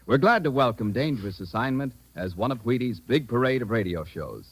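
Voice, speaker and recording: A man's voice: mid-range voice, expressive, brisk. A man with an American accent announcing in the polished style of 1950s radio. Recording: vintage radio recording with music.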